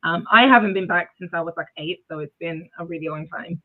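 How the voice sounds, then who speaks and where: A woman's voice: lowish voice, a little fast. A young woman from Zimbabwe, a guest on a video-call interview, who talks hesitantly with many fillers and laughs often. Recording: tinny phone-like audio.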